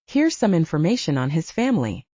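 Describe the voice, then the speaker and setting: A woman voicing a kindly, stubborn elderly grandfather with an earnest, gentle manner in an audiobook. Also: deep voice, very animated, brisk, no echo. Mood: matter-of-fact. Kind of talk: audiobook character dialogue. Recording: dull, slightly lo-fi, dry recording.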